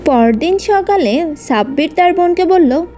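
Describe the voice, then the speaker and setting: Woman, children's cartoon narrator, scripted storytelling, high-pitched, mellow, light music. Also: lively intonation, a little slow.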